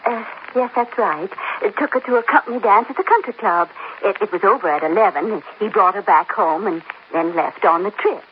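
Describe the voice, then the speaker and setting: A woman's voice: mid-range voice, brisk, close to an everyday voice. An actress playing a genteel, middle-aged society mother in a 1950s radio drama, refined and polite but flustered and stammering. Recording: dull old radio recording with faint hiss.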